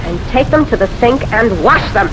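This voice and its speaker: An actress playing a domineering houseguest in a radio drama, scolding and giving orders. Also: female voice, a little high, animated, normal speed, background noise, lightly acted. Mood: bossy, scolding. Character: bossy, swaggering woman houseguest who gives orders.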